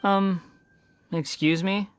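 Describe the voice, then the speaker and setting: A woman voicing a polite wandering vagrant boy in a video game, composed and quietly amused. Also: medium pitch, fairly even intonation, slow pace, clean tone, no echo, lightly acted. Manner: courteous, composed. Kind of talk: game cutscene dialogue.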